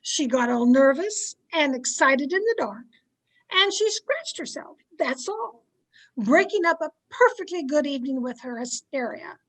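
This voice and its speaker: Haughty, shrill, high-pitched domineering wife played by a woman. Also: lively intonation, measured pace, rough recording. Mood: indignant, scolding. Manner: imperious, scolding, theatrical. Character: domineering, haughty society wife, easily outraged. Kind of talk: old-time radio drama acting. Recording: amateur video-call recording.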